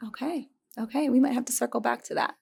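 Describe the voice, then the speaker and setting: Creaky-voiced woman hosting a panel. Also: a little high, quick pace, a little mellow. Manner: friendly, encouraging questions. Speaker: director of recruiting, interview host. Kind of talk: moderating a student panel.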